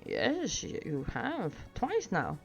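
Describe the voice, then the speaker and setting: A woman's voice: low voice, huge pitch swings, drawn-out words, gravelly, heavily acted. A woman voicing a stern, teasing old wandering wizard in a wordy, pointed storybook reading. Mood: amused, commanding. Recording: dull sound with music behind.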